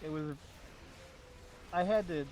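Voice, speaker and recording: Man chatting casually over clear background noise. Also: on the high side, slow, darkish tone. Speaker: gamer on a group gaming podcast. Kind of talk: casual group chat. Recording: noisy voice-chat with clear background hum.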